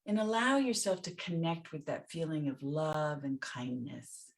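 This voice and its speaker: A woman leading a guided meditation as a teacher, speaking gently and soothingly in short phrases with pauses between them. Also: deep voice, big pitch swings.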